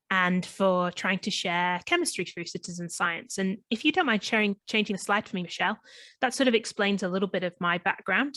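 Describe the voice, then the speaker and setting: A woman's voice: medium pitch, fairly bright tone, dry recording. English woman presenting with a Northwest accent. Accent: Northwest English, between Manchester and Liverpool, slight Australian twang. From England. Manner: friendly, many ums and restarts. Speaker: chemist and guest presenter. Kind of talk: online presentation. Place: home in lockdown, video call.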